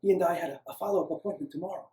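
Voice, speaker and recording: Man voicing a gentle Eastern European older doctor, echoey. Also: high voice, very fast, pitched above the performer's own voice, natural voice. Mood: sorrowful. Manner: gentle, courteous, hesitant. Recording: roomy, echoing, distant microphone.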